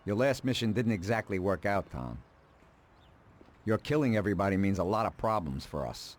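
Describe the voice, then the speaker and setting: A man playing a calm, calculating middle-aged mob advisor in a video game, speaking in a dry, deliberate, matter-of-fact way. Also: fairly low pitch, lightly acted. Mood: stern, dry. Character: calm, calculating male mafia consigliere, drily ruthless.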